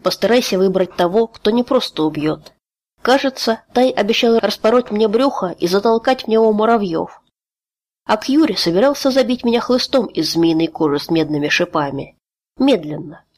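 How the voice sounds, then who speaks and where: A woman voicing a weary, grim male executioner who speaks slowly, quietly and with resignation, in an audiobook. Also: medium pitch, pitched above the performer's own voice, close to an everyday voice.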